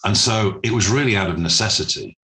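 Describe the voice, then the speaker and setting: A man speaking as a business interview guest, explaining things formally and deliberately, with measured phrasing and pauses. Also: lowish voice, sharp tone, poor audio. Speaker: interview guest, private equity managing director.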